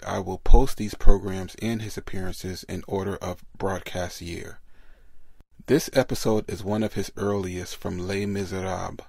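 A man's voice: low voice, vocal fry, a little mellow, breathy edge, dry recording. A man hosting a podcast, introducing a program at a close microphone. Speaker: podcast host.

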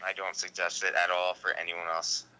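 A man gives a casual interview over the phone. His voice sits a little low but is very sharp and forceful. He talks in emphatic slang, often tagging his points with "man" or "right". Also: monotone, normal speed, smooth, poor audio. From Canada. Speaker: interview guest. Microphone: phone call.

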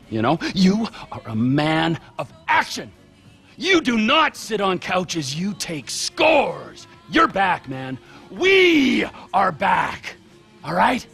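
Unhinged north american game criminal, high gravelly voice, erratic ranting, swings all over. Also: male voice, sharp tone, exaggerated voice. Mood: manic hype. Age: middle-aged. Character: volatile middle-aged criminal, needy, menacing, explosive. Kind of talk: video game cutscene acting.

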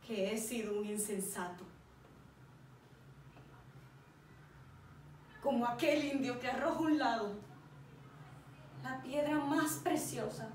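A woman acting a remorseful, proud military man in a solemn, declamatory stage monologue, with deliberate pauses. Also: fairly high pitch, lightly acted. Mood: anguished. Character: tragic male general, remorseful, proud, anguished. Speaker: drama student performing an exam monologue. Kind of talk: dramatic theatrical monologue. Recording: roomy, distant mic with faint noise.

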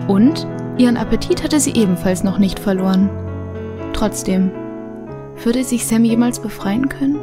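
A woman's voice: a little high, moderate pace, over music, lightly acted. A woman narrating a children's mystery audio drama in a scripted, suspenseful storytelling manner. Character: female storyteller of a children's mystery radio play.

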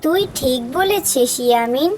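A woman's voice: high voice, expressive, normal speed, a little mellow, slight background noise. A woman acting a young girl in a cartoon, a caring elder sister with an earnest, concerned manner. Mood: worried.

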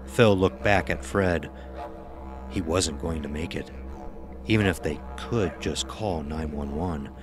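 A man's voice: rumbling low, slow pace, music in the background. A man narrating a scripted horror audio drama as its storyteller.

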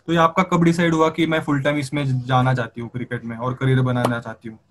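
A man's voice: mid-range voice, level tone, quick pace, background noise. A man with an Indian accent hosting a casual online interview, asking long questions that he rephrases as he goes. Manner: wordy, rephrases questions at length. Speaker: interviewer, channel host. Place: remote call on social media live.

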